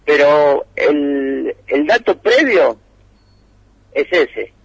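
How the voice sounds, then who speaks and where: A man's voice: on the high side, lively intonation, very slow, creaky, husky, dry recording, lo-fi sound. Man reporting by phone, hesitant. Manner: meandering, hesitant with many fillers. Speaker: radio reporter.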